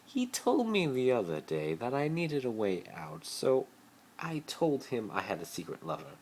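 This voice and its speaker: A man's voice: medium pitch, huge pitch swings, moderate pace, a little mellow, voice pushed up. A man voicing a spoiled, pleading, haughty teenage wizard boy in an amateur dramatic fan fiction reading. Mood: eager explaining. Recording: noisy amateur phone recording.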